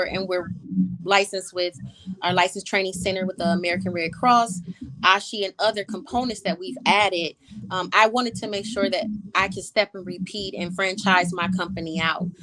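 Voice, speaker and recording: A woman's voice: medium pitch, steady tone, moderate pace, a bit bright, a little hiss or hum, poor audio. A woman guest on an interview show, talking through her businesses in a confident, driven way. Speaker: guest entrepreneur. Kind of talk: interview answers.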